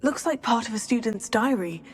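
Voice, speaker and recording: A woman playing a curious, principled teenage witch schoolgirl in a video game, measured and polite. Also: fairly high pitch, animated, slight background noise, lightly acted. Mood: focused, inquisitive.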